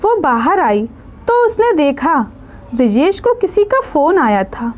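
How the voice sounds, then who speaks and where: A woman's voice: high voice, big pitch swings, a little slow. A woman narrating a scripted dramatic story as a storyteller.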